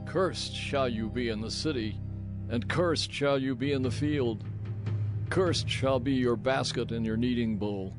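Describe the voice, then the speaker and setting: A man's voice: mid-range voice, natural voice. A man as a stern herald of curses. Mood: stern proclamation. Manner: measured, ceremonial recitation. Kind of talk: dramatized scripture reading. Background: music underscore.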